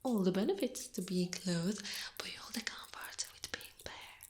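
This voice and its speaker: A woman voicing a giant, possessive, seductive spider monster in a breathy, whispered ASMR roleplay. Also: mid-range voice, darkish tone, natural voice. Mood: smug, possessive. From Italy. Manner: breathy whispering, slow and deliberate.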